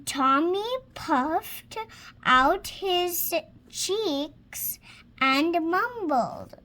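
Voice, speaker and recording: A girl of about six reading a children's storybook aloud, halting word by word, stumbling and correcting herself. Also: extremely high pitch, lively intonation, bright, forceful tone. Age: child, about 6 years old. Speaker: young reader practising reading aloud.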